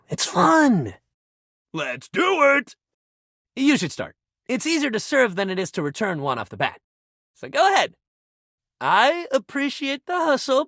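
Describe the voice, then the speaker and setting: A sporty, upbeat young dude from a video game, pitch leaping all over the place. He talks like a chummy coach full of slang, in a very dry, close recording. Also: fairly bright tone, no echo, heavily acted. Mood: excited, encouraging. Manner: slangy, buddy-like coach pep talk. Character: upbeat, sporty young badminton-loving dude, animated shuttlecock. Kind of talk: video game character voice acting.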